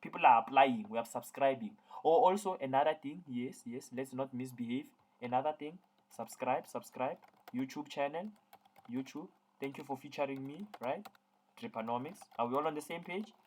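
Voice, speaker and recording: Brief: South African man, accounting tutor, jokey lecture delivery, mid-pitched voice. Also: normal speed, darkish tone, a little hiss or hum. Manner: playful, jokey, repeats words. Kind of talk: online lecture.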